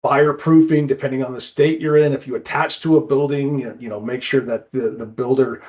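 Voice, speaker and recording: A man speaking as a guest expert, explaining casually with halting phrasing and repeated words. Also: medium pitch, fairly even intonation, darkish tone, lo-fi sound. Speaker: guest expert, climbing wall builder.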